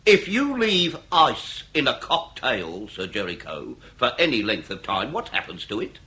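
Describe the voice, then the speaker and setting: A man's voice: mid-range voice, wildly swooping pitch, measured pace, sharp tone, breathy edge, full character voice. A man acting a shrewd, relentless Victorian police inspector in a period radio drama, with formal, declamatory delivery. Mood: triumphant, pointed. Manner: formal, declamatory, theatrical interrogating. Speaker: actor.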